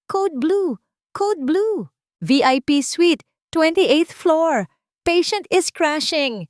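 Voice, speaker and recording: A woman voicing an urgent hospital announcer in an audio drama, making loud, drawn-out, alarmed calls. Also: high voice, animated, dry recording, clean studio sound, pitched above the performer's own voice, exaggerated voice.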